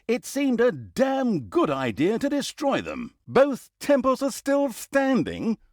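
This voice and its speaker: Casting: mocking, theatrical narrator for a fantasy game; lighter-pitched, hugely varied intonation, scripted storytelling with sarcastic bite. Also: male voice, a little mellow, no echo, clean studio sound. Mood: exasperated scolding. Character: sardonic, theatrical male storyteller mocking heroes and scolding the player.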